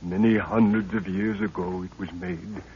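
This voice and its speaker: An actor playing a devoted old palace servant who speaks with solemn, archaic formality in 1930s radio serial style. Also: male voice, deep voice, fairly even intonation, moderate pace. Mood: hushed, grave. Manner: formal, archaic thee-and-thy phrasing. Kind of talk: 1930s radio adventure acting. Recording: tinny, noisy 1930s radio recording.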